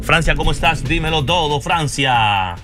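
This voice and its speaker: A man hosting a radio call-in show, lively, greeting callers and chanting the phone number. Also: fairly high pitch, normal speed, faint music behind. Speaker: radio host.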